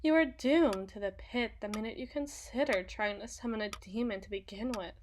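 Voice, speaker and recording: A woman's voice: medium pitch, moderate pace, a little hiss or hum, lightly acted. A woman playing a seductive, sadistic female demon in a teasing, condescending, theatrical ASMR roleplay monologue. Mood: smug, seductive.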